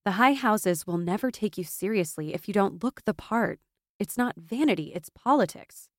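A woman's voice: mid-range voice, expressive, moderate pace, no room sound, studio quality, close to an everyday voice. A woman voicing a loyal, stubborn young woman in a fantasy audiobook, insistent and impassioned. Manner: insistent, impassioned, gaining momentum. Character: fierce, stubborn, loyal young woman, pleading best friend.

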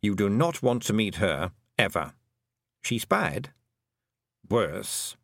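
A man voicing a dry, knowing male talking toad who murmurs in a low, confiding way. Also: sing-song, slow, vocal fry, a bit bright, no echo, full character voice.

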